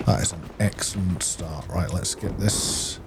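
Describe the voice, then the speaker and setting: Slow, low-voiced man muttering over gameplay. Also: background noise. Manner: muttering, thinking aloud. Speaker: gaming video host. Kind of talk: casual gameplay commentary, talking to himself.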